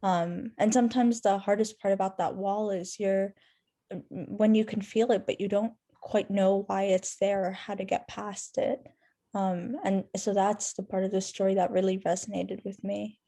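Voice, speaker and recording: A woman's voice: mid-range voice, flat delivery, moderate pace, mellow. A woman sharing a personal reflection in a thoughtful, tentative way as a workshop participant.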